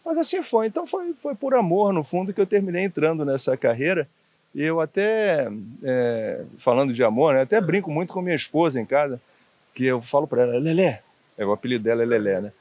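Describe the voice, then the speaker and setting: A man in his sixties from Bahia with a faded Bahian accent, telling anecdotes in a relaxed, chatty interview and laughing often. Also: on the high side, brisk, dry recording. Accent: faded Bahian Brazilian Portuguese. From Bahia, Brazil. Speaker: guest, former diplomat.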